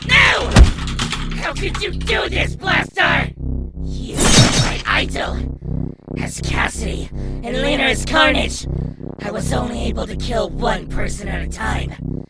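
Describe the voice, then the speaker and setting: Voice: medium pitch, big pitch swings, slow pace, creaky, exaggerated voice. An actor playing a gleefully bloodthirsty male alien symbiote killer in a comic dub, theatrical and sinister, with music behind. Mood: outraged.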